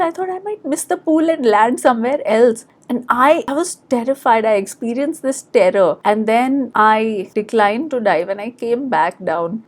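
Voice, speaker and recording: A woman with an Indian accent telling personal memories as a podcast host, in a plain-spoken, reflective manner. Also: a little high. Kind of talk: personal storytelling.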